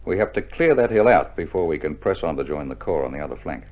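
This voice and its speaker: An actor playing a genial, unflappable army officer in a hearty 1960s radio drama style. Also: male voice, a bass voice, animated, fast. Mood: calm, businesslike. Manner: hearty, jovial, chuckling understatement. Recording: muffled old vinyl radio drama recording.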